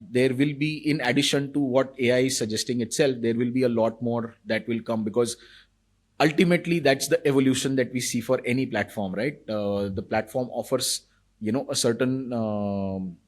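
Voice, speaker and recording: A man, a marketing executive, answering interview questions in a thoughtful, explanatory way with frequent fillers. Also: medium pitch.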